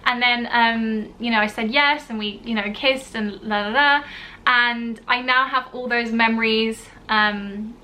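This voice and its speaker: Bright-voiced chatty young woman. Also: fairly high pitch, fairly even intonation, unhurried. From born in Italy, grew up in the UK. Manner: chatty, gushing, full of "like" and "you know", acts out quotes. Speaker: vlogger. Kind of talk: casual storytime chat to camera.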